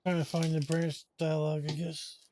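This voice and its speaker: A man's voice: a little high, flat delivery, moderate pace, dark tone, a little hiss or hum. A man giving casual, offhand commentary as he plays through a video game. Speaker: gamer commentator.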